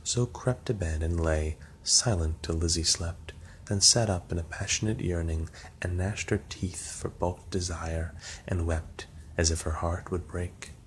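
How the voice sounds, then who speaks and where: A man reading a long poem aloud as an ASMR narrator, softly and slowly, sometimes dropping to a whisper. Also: low-pitched, steady tone, a little hiss or hum. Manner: soft, slow, dips into whispers.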